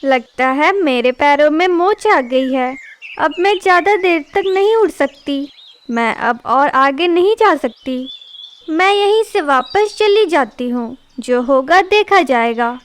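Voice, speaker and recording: A woman's voice: sits high, lively intonation, slow pace. A woman voicing an older auntie bird in a cartoon, tired and complaining. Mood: weary.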